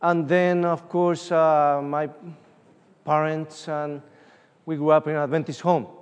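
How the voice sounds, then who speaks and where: A man's voice: a little high, measured pace, smooth. Spanish-accented man preaching in a hall. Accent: Uruguayan Spanish. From Uruguay. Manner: animated, storytelling, frequent fillers. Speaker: evangelist. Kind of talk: conference sermon with personal stories. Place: large conference hall. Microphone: room microphone from a distance. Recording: distant voice with long hall reverb.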